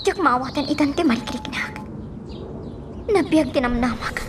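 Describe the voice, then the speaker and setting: A woman's voice: high-pitched, moderate pace, a little hiss or hum, lightly acted. A woman with an Ilocano accent acting a troubled, sentimental young lady in a radio drama.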